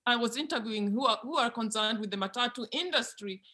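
A woman's voice: on the high side, fast, bright, forceful tone. A woman academic explaining her research in a discussion, repeating words as she thinks aloud.

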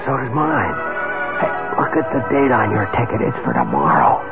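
An actor playing an anxious, defensive young fiancé with a scheming side in a 1970s radio drama, edgy and stammering under pressure. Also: male voice, medium pitch, huge pitch swings, normal speed, creaky. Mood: breezy, charming. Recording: dull vintage radio with music behind.

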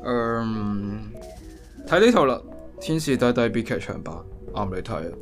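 A man's voice: medium pitch, animated, a little slow, faint music behind, lightly acted. A man with a Hong Kong accent playing a teasing older brother in a comedic radio drama, talking with mock seriousness.

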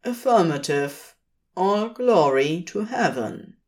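A woman plays an angelic administrative drone, low-pitched and very slow. Every phrase is intoned with solemn, formal blankness, as stiff storybook officialdom with no feeling at all. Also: lively intonation.

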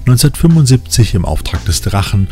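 A man's voice: fairly low pitch, very animated, fast, warm tone. A man reading scripted narration as a podcast host. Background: background music.

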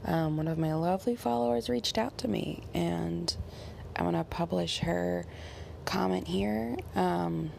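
Hoarse, gravelly low-voiced woman. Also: a little mellow, a little hiss or hum. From United States. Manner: halting, frequent ums, slows to stress points. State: hoarse from bronchitis. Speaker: podcast host. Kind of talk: casual personal update.

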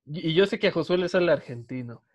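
A man's voice: a little high, lively intonation, quick pace. A man chatting playfully with friends, often slipping into imitated accents. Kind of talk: casual banter among friends. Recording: dull lo-fi audio.

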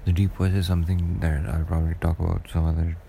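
A young man with a deep voice, rambling through a casual solo podcast monologue with lots of hesitations. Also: level tone, quick pace, gravelly, mellow, slight background noise. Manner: rambling and hesitant, many fillers and restarts. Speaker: podcast host.

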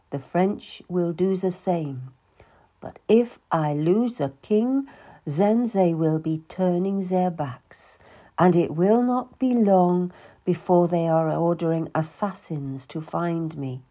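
A woman reading an audiobook, voicing a young noblewoman who pleads through tears, at times sinking to a whisper. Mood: bleak. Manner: high, tearful pleading with hushed whispers.

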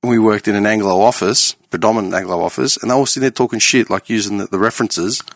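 A man's voice: on the low side, fast talker, no echo. A man, talking casually and emphatically with a lot of swearing. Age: late 30s. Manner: animated, profane, emphatic. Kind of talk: casual podcast chat.

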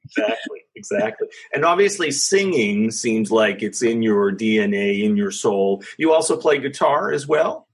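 Male interviewer, lowish husky voice, encouraging. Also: animated, normal speed. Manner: encouraging, warm affirmations. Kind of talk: casual interview conversation.

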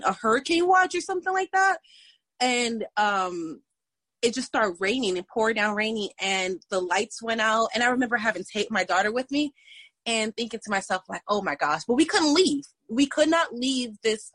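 A woman fitness instructor telling a story in casual chat, animated and laughing often. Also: mid-range voice, no echo.

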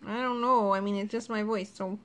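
A woman's voice: mid-range voice, fairly even intonation, a little fast. A woman from Ohio co-hosting a casual podcast about her relationship, chatty and a bit defensive. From Ohio, USA. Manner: chatty, defensive, laughs often. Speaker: podcast co-host. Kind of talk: casual couple chat.

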